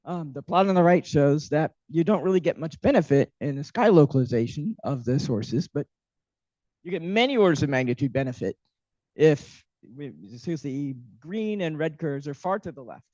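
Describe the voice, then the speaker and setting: A man's voice: fairly high pitch, lively intonation, normal speed, a little mellow, no echo. A man giving a workshop lecture, hesitant with many ums and restarts, while losing his voice. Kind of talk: academic workshop talk. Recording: dull, muffled lecture audio.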